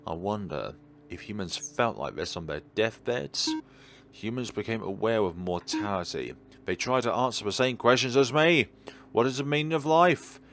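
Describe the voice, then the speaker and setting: A man's voice: on the low side, animated, moderate pace, fairly bright tone, faint music behind. A man reading visual novel dialogue as a melancholy, world-weary God, in a wistful, dramatic way. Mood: nostalgic, regretful.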